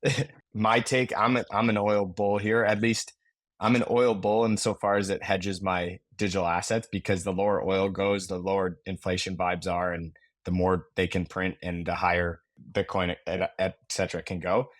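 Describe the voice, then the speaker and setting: A man co-hosting a casual market discussion, measured and conversational. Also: low-pitched, flat delivery, no echo. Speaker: podcast co-host.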